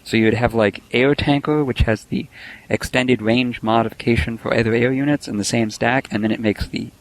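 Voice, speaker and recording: A man's voice: medium pitch, steady tone, moderate pace, rough recording. A man co-hosting a casual podcast, eager and teasing in chat, then walking through technical details with plenty of fillers. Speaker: podcast co-host, code reviewer.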